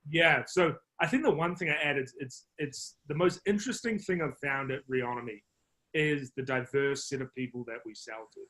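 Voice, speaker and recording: A man with a New Zealand accent, speaking at a middle pitch and explaining his business at length. He talks in a measured way, with plenty of ums and restarts, as a podcast guest. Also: a bit bright. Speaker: marketing executive guest.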